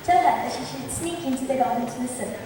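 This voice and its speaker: A woman's voice: sits high, quick pace, natural voice. A woman in a student drama club playing a scheming young noblewoman and bride-to-be on stage. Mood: commanding, scheming. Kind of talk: amateur stage acting. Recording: very echoey hall, distant mic, faint hiss.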